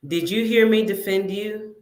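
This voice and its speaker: A woman calling into a livestream over a video call, asking pointed questions in a deliberate way. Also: medium pitch, steady tone, a little mellow, reverberant. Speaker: livestream guest. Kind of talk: casual livestream talk.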